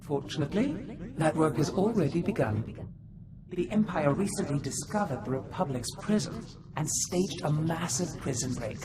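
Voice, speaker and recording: Earnest, scholarly advisor. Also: female voice, low-pitched, animated, normal speed, a crowd in the background. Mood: measured, informative. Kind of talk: game cutscene acting.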